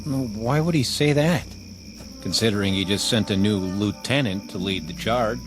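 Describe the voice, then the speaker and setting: A man's voice: on the low side, moderate pace, lightly acted. An actor playing a skeptical male army private with a wry drawl in a radio drama. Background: music score.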